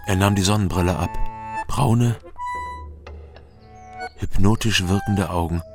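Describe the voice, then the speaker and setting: Guarded hero-narrator, lowish voice, terse, wry, radio crime drama, plucked guitar underneath. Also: male voice, unhurried. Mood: laconic, tense. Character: dry, guarded male hero telling his own story. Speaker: first-person narrator. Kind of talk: scripted noir crime narration.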